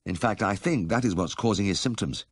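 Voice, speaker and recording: A man's voice: fairly low pitch, fairly quick, dry recording, studio quality, voice pushed up, close to an everyday voice. A man voicing a kindly, careful country vet who explains things politely in a story reading. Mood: careful, explanatory. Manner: courteous, explanatory.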